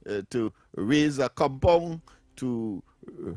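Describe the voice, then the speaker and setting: A man's voice: mid-range voice, animated, slow, a little mellow, no echo. Middle-aged trinidadian male radio co-host, lectures on word meanings and laughs a lot, close dry studio mic. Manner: laughs often, lectures on words. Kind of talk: casual radio chat.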